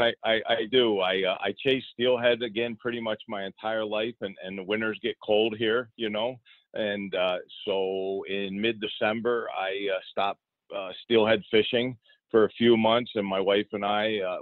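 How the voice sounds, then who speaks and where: Deep-voiced fishing guide on a tinny line. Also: male voice, no room sound. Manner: easygoing, repeats words and restarts often. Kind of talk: casual interview answers. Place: outdoors in a boat on the water.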